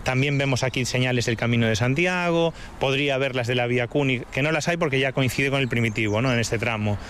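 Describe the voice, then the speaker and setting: A man's voice: medium pitch, fast talker, a bit bright, slight background noise. A man from Galicia, a tour guide, explaining a walking route with enthusiasm on an outdoor trail. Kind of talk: casual outdoor conversation.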